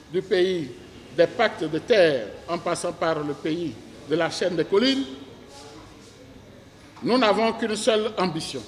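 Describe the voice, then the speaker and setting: Slow, declamatory Beninese male orator. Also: sits high. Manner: declamatory, phrase by phrase. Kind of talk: ceremonial oratory. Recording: reverberant public address.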